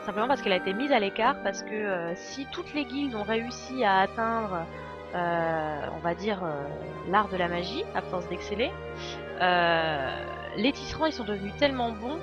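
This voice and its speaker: Girl streamer chatting thru a game, thinking out loud, hesitant, game music behind her. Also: medium pitch. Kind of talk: casual live commentary and explanation.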